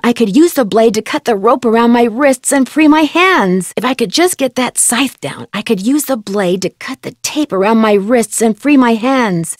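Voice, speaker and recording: An actress playing a curious, determined young detective in an adventure game, with an American accent and clear, expressive delivery. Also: female voice, fairly high pitch, no room sound, clean studio sound. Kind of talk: scripted adventure game voice lines.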